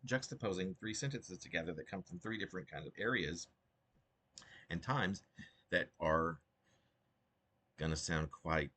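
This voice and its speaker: A man with a husky voice speaking as a poet about and from his own work, in an informal, halting and digressive way. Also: deep voice, animated, fairly bright tone. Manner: halting, digressive, self-interrupting. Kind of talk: rambling informal commentary and poetry reading.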